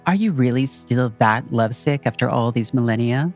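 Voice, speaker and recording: A woman's voice: rumbling low, normal speed, a little mellow, faint music behind. A woman playing a sly, mocking captive villainess in an audio drama, taunting theatrically. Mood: sly, amused.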